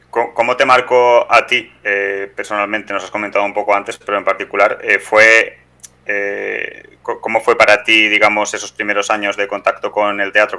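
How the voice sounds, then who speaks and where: A man's voice: fairly low pitch, bright, forceful tone, smooth. A man in his thirties with an accent from Spain, putting prepared, wordy questions to a guest as interviewer, with some hesitations. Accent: Spanish, from Spain. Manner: wordy, formal phrasing with hesitations. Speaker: radio show host, interviewer.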